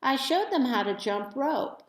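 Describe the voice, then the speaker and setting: A woman reading a children's storybook aloud as expressive narration, with dramatic, deliberate phrasing. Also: high-pitched. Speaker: storybook reader. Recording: echoey room.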